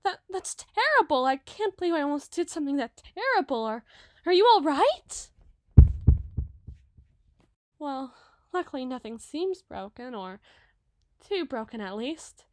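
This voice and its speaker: A sweet, dramatic young giantess , played by a woman, speaks in a high, girlish voice. Her delivery is chatty and openly emotive, in the manner of an audio roleplay. The sound is very dry, with no room around it. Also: sing-song, no room sound. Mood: flustered, apologetic. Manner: emotive, chatty, halting when flustered.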